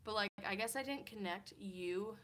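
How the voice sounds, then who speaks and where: A woman's voice: mid-range voice, normal speed, a bit bright. A woman in her early twenties from Kansas, chatting casually on a livestream from a hotel room, rambling with lots of "like" fillers. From Kansas, USA. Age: 20s. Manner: chatty, rambling, many "like" fillers, laughs often. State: tired. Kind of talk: casual livestream chat reading comments.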